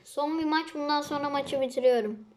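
A young girl chatting excitedly and casually as she hosts and commentates on a video game. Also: high-pitched, a little fast, slight background noise. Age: child. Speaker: host of a gaming channel.

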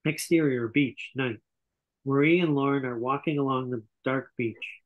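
Older man reading stage directions, slightly halting. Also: mid-range voice, narrow, tinny audio. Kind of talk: scripted narration at a table reading. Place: indoor room on a video call. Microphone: video call.

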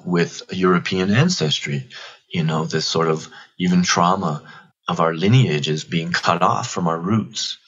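Deep-voiced man in his forties. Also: fairly bright tone, slightly rough, lo-fi sound. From Toronto, Canada. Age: 40s. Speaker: podcast guest, activist spokesperson. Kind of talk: reflective personal storytelling.

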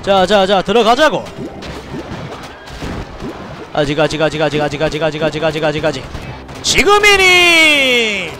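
An excitable man with a Daegu dialect streams a strategy game, his high voice leaping around as he shouts commands. Between bursts he drops to low mutters and asides to viewers. Faint music plays underneath. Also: normal speed. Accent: Gyeongsang (Daegu) dialect. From Daegu, South Korea. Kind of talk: live game commentary.

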